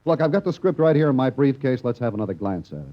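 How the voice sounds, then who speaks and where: A man's voice: mid-range voice, big pitch swings, fast talker. An actor playing a frank male television director who explains things bluntly in a 1950s radio comedy. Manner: explanatory, lecturing delivery. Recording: dull lo-fi old radio recording.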